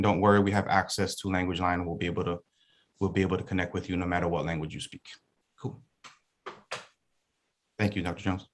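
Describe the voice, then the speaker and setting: A man with a fairly low voice gives an easygoing welcome talk over a video call. He speaks as a school wellness coordinator, loose and chatty, with plenty of ums and uhs. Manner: relaxed and chatty, many fillers. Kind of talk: casual welcome talk to students and parents.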